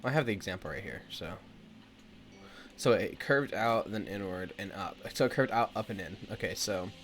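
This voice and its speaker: A man's voice: lowish voice, lively intonation, normal speed, a bit bright, slight background noise. A young man with a stuffy nose chatting casually with viewers as he draws, rambling with lots of fillers. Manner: rambling, filler-heavy, talks to chat. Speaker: art streamer. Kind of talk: casual chat with viewers while drawing. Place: indoors at home.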